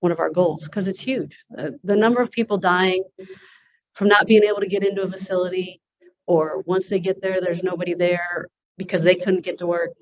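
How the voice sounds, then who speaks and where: A woman's voice: fairly low pitch, steady tone, a little fast. A woman hosting at a symposium, responding to participants in an informal, unscripted way. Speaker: symposium speaker, network meeting host. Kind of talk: informal panel discussion and answers. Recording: dull lo-fi audio.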